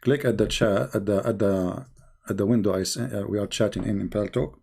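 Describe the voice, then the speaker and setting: A man with an Arabic accent hosting a live-stream debate, insistent, repeating his questions in halting English. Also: lowish voice, fairly even intonation, vocal fry, background noise. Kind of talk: heated call-in debate.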